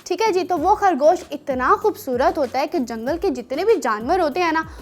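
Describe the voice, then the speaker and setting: A woman presenting a children's show in a chatty, teasing, animated way, talking to children and telling stories. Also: very high-pitched, moderate pace, faint music behind.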